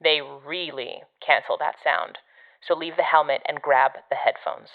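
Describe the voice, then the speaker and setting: Audio drama: sardonic female agency operative, low and casual, guiding over a dull radio link. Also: fairly even intonation, moderate pace, vocal fry. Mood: brisk, instructing. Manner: dry, sarcastic, casual. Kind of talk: audio fiction podcast acting.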